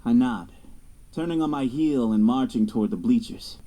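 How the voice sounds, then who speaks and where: A man's voice: medium pitch, moderate pace, dark tone, natural voice. An actor playing a proud young college quarterback who narrates his own story in an audio drama. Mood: unsettled. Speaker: narrator and lead character.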